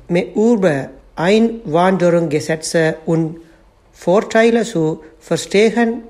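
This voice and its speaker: A man from Sri Lanka, not a native German speaker, reading a personal statement slowly and with care. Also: sits high, darkish tone. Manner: slow, careful non-native delivery. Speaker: community member.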